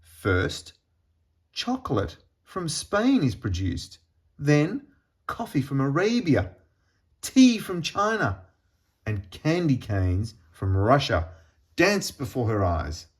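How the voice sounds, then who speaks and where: A man reading a children's storybook aloud in an expressive, deliberate storytelling manner with pauses. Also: medium pitch. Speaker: storybook reader.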